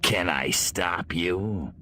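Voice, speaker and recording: A man voicing a cocky, trigger-happy alien invader in a video game, gruff, sardonic and laconic, with a gravelly voice. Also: deep voice, extremely slow, sharp tone, a little hiss or hum, full character voice. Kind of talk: video game character acting.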